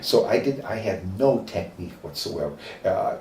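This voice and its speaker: An older man with a Midwestern accent, recalling his acting training in an oral history interview, reflective and halting with many fillers. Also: sits low, lively intonation, warm tone. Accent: Midwestern American. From American Midwest. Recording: roomy distant mic with faint noise.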